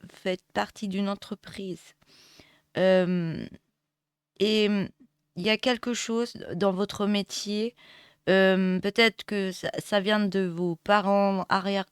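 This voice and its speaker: A woman with a cold and a hoarse voice, giving a casual tarot reading with many hesitations and restarts. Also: medium pitch, dry recording. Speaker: tarot reader. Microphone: close mic. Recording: dry close mic.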